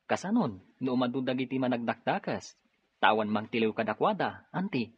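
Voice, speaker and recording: An actor plays a dutiful, reassuring young Ilocano policeman in a drama. His voice is husky and medium in pitch. The recording sounds slightly dull and lo-fi. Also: lively intonation, a little fast. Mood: earnest. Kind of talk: Ilocano radio-style drama acting.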